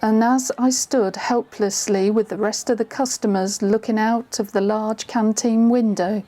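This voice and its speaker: Woman acting as narrator lady, soft mellow tone, a bit high, suspenseful storytelling, faint noise. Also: fairly even intonation, measured pace, lightly acted. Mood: suspenseful narration. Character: female storyteller recalling a tense witnessed drama. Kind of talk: scripted first-person drama narration.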